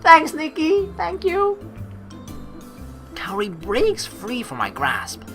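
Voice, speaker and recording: A woman's voice: high voice, huge pitch swings, takes it slowly, over music. A young woman doing a playful let's play, switching between casual commentary and theatrical narration read aloud.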